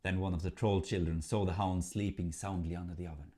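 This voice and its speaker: A man narrating a folk tale as a storyteller, in a theatrical, measured manner. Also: low voice, steady tone, dark tone.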